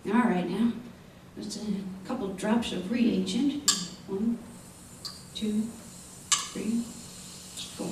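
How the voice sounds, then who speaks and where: High-voiced, crotchety frontier doctor. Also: male voice. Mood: absorbed, muttering. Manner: drawn-out, chuckling, needling delivery. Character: crotchety, teasing old frontier town doctor. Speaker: actor in a radio western. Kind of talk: old-time radio western acting. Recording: echoey, distant, hissy old radio recording.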